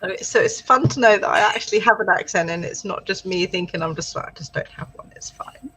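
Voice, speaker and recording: A woman's voice: mid-range voice, fairly quick, sharp tone, slight background noise, rough recording. A British woman co-hosting a casual writing livestream, chatting and reading out viewer comments encouragingly. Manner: chatty, encouraging, thinks aloud. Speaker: livestream co-host.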